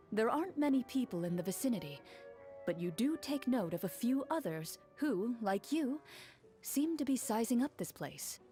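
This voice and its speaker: Woman voicing a chill, reserved game-master swordswoman, mid pitch, explains stuff calmly, faint music behind. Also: close to an everyday voice. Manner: measured, calm, explains rules plainly. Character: composed, reserved young female duelist. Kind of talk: game dub, calm storytelling.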